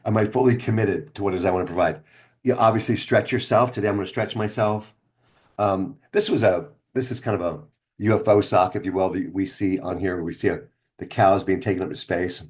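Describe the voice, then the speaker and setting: Low-pitched middle-aged man telling his story. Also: steady tone, a little fast. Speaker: speaker and storyteller presenting own brand. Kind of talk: casual first-person storytelling to camera.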